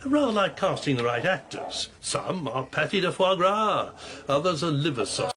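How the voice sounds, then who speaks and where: An actor playing a vain, waspish, theatrical talent agent and food snob in a radio comedy-drama. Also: male voice, on the high side, very animated, moderate pace, fairly bright tone. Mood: haughty, waspish. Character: fussy, vain, acerbic male talent agent and gourmet.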